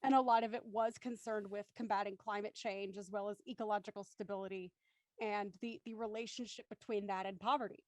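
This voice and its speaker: A woman's voice: medium pitch, fairly even intonation, fairly quick, a little mellow, no echo. A woman speaking as a panelist in a discussion, articulate and reflective, setting out considered thoughts.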